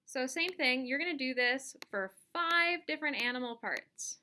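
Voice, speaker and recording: Female tutorial instructor, fairly high and bright, informal step-by-step delivery over slight background noise. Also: animated, moderate pace. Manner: informal, instructional, thinking aloud while demonstrating. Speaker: instructor giving a software tutorial. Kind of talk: casual step-by-step screen-recorded lesson.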